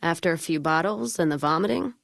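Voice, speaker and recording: Game role: hard-bitten, hard-drinking caravan woman, low register, blunt and wry, folksy, very dry close recording. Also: expressive, brisk, creaky, fairly bright tone, no room sound, exaggerated voice. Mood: wry, easygoing. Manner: blunt, wry, folksy drawling turns of phrase. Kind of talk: video game voice acting, scripted dialogue.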